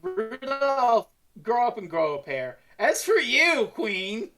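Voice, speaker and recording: A woman's voice: fairly high pitch, very animated, slow, fairly bright tone, soft background music. A woman co-hosting a casual review chat, theatrical and sweary, acting out quotes as she goes. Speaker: podcast co-host.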